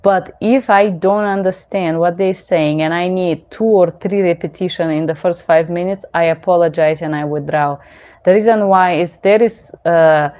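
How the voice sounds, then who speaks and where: A middle-aged woman talks from home in a casual question-and-answer monologue, speaking English with a clear non-native accent. Her voice sits fairly low for a woman. She is blunt and opinionated, and she drifts into rambling asides. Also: darkish tone, muffled recording. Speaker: interpreter and channel host.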